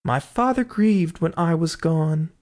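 Casting: a man doing an eerie fairy-tale songbird, chanting high and sing-song. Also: measured pace, darkish tone, natural voice. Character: magical songbird, eerie and accusing.

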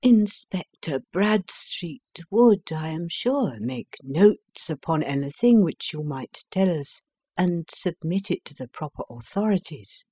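A composed, courteous detective, read by a woman, speaking in measured, unhurried phrases. Her voice sits low and the recording is dry and close. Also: very animated, dark tone, no room sound, heavily acted. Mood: calm. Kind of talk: audiobook character reading.

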